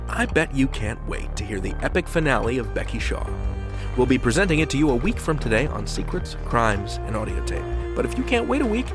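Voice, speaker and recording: Male podcast host: lively swooping intonation, chatty and enthusiastic, music bed underneath. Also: mid-range voice, brisk. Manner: conversational, enthusiastic. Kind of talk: chatty scripted podcast hosting.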